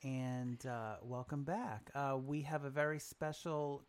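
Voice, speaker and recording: A man from Brooklyn hosts a casual podcast, talking in an easygoing, loose way. He fills his pauses with ums and drifts through his thoughts. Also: medium pitch, clean tone. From Brooklyn, New York. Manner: relaxed, filler-heavy talk. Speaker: podcast host.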